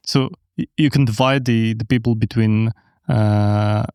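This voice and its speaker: A man's voice: on the low side, fairly even intonation, a little slow, a little mellow, smooth, dry recording, clean studio sound. A man whose first language is Polish, hosting a podcast and interviewing guests with brief questions and mm-hmms. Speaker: podcast host.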